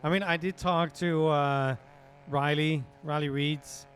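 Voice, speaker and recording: A man's voice: fairly high pitch, steady tone, takes it slowly, fairly bright tone, a little hiss or hum. A man streaming a roleplay game, chatting casually and rambling in a laid-back way. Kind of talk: casual gaming chat.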